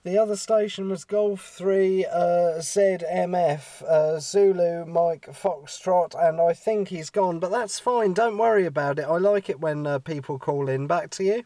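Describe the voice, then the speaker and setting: A man's voice: high voice, measured pace, few pauses, mellow, no room sound. An easygoing, chatty man making casual amateur radio contact as an operator. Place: in and beside a parked car outdoors.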